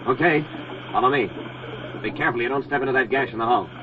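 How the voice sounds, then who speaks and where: An actor playing a brave, commanding Navy deep-sea diver in 1940s radio drama style, speaking in clipped, terse phrases. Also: male voice, fast, muffled recording, natural voice. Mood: grim, urgent. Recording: noisy, band-limited 1940s radio recording.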